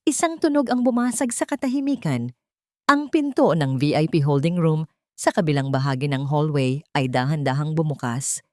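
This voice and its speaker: A woman's voice: low voice, warm tone, no room sound, studio quality. A woman narrating a scripted drama story in a dramatic audiobook style.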